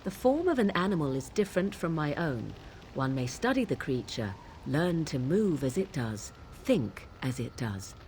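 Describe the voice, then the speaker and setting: A woman voicing a proud, aloof young witch of the wilds in a fantasy game, speaking in archaic, measured, formal phrases. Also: sits low, very animated, a little mellow, a little hiss or hum. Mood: lofty, instructive. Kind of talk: fantasy video game dialogue.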